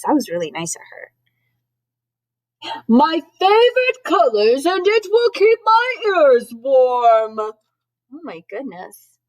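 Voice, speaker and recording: A woman's voice: medium pitch, creaky, slightly rough, dry recording, poor audio. A woman teacher reading a children's storybook aloud, expressively, with chatty asides that explain things simply to young listeners. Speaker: schoolteacher.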